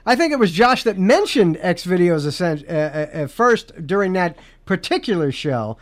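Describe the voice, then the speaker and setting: A man's voice: sits high, sing-song, moderate pace. A man hosting a podcast, chatting casually in a rambling, joking way with lots of restarts. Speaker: podcast host. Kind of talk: casual comedic chat.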